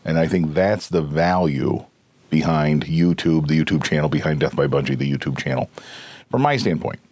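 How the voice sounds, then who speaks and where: A middle-aged man with a very low, hoarse voice, just getting over a cold, hosts his own podcast in a long earnest monologue. He presses his points with emphasis and circles back to repeat and reword them. The recording is dry, with no room sound. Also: normal speed, darkish tone, no echo. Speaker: podcast host.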